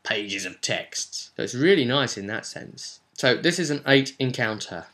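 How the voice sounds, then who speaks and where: Bright, forceful man recapping a game. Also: mid-range voice, lively intonation, a little slow. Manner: chatty, explanatory, rambling asides. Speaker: game master and video host. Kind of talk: casual tabletop role-play session recap.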